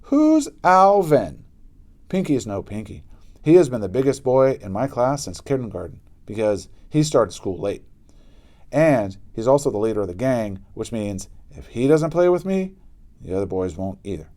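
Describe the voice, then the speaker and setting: A man narrating a children's book aloud in an expressive style with dramatic emphasis. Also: lowish voice, moderate pace. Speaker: storybook reader.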